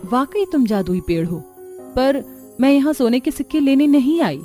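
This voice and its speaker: A woman's voice: sits high, big pitch swings, moderate pace, dark tone, faint music behind. A woman voicing an earnest, worried young daughter-in-law in a cartoon family story. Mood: earnest, concerned. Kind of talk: cartoon voice acting.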